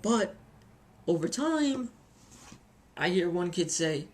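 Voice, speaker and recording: A woman's voice: fairly low pitch, sing-song, takes it slowly, slight background noise. A woman giving a casual, chatty hobby review with anecdotes, often repeating phrases for emphasis.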